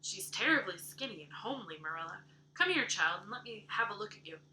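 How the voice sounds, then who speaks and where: A woman's voice: medium pitch, animated, a little fast, sharp tone, slight background noise. A woman voicing a blunt, outspoken middle-aged neighbor who speaks gloomily and emphatically in a storybook reading. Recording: roomy echo.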